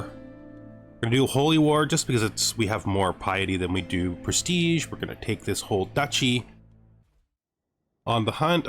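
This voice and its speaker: A man's voice: mid-range voice, fairly bright tone. A man hosting a gameplay series, speaking as a narrator and commentator. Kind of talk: gaming commentary with scripted lore narration.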